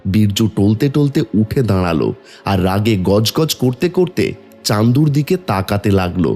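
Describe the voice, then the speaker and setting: A man's voice: on the low side, lively intonation, moderate pace, a little mellow, soft background music. A man narrating a scripted cartoon folk story.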